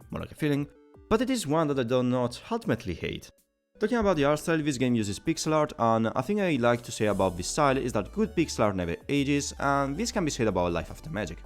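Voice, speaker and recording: A man narrating a scripted video game review, giving his opinions in an explanatory way. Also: medium pitch. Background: background music.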